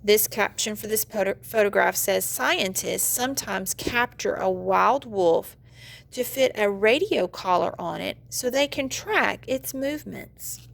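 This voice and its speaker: A woman's voice: medium pitch, lively intonation, a little slow, gravelly. A woman teacher giving an instructional read-aloud of a children's nonfiction book, explaining and putting questions directly to young learners. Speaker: teacher reading aloud to students.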